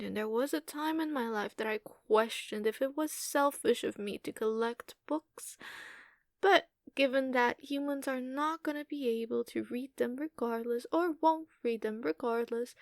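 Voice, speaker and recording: A woman voicing an ageless giantess dragon in an ASMR roleplay, haughty, doting and possessive, teasing with condescension and drawn-out hums. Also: high voice, no echo, clean studio sound. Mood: calm, self-assured.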